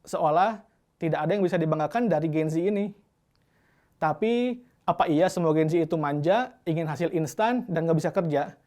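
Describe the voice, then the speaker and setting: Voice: medium pitch, normal speed, a little mellow. Conversational narrator voice. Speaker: narrator and off-camera interviewer. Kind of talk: scripted narration.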